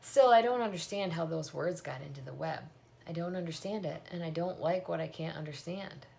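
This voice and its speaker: A woman's voice: sits low, fairly quick, gravelly, dark tone, slight background noise. A woman reading the part of a worried, practical farm mother in a storybook, fretful and questioning. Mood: anxious.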